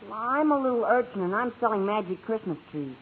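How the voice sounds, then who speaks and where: A little street urchin hawking wares, high-voiced and husky. The tinny sound is that of vintage radio comedy. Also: male voice, lively intonation, a little fast. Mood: pleading. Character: little street urchin peddling magic Christmas trees. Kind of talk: vintage American radio sitcom acting. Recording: tinny old radio broadcast.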